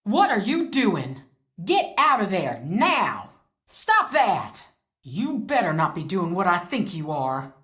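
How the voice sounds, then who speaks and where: Game role: middle-aged mother, proud and anxious, defensive, lively swooping delivery, close dry sound. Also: on the low side, slow pace, breathy edge, no room sound, exaggerated voice. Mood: agitated. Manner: defensive, theatrical, emotionally expressive. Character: proud, anxious Southern mother guarding family secrets. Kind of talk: video game voice acting.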